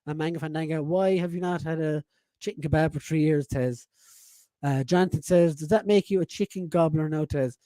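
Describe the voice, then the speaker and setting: A man's voice: on the high side, fairly quick, warm tone, dry recording. A man in his forties hosting a casual live-stream podcast, reading chat messages aloud, laughing often and swearing freely. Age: 40s.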